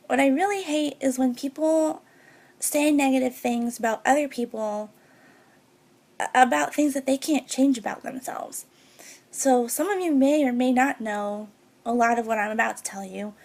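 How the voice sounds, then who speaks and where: High, girlish-voiced young woman with fry. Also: a little mellow. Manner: earnest and heartfelt, a bit hesitant. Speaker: beauty vlogger. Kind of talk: personal talk to camera.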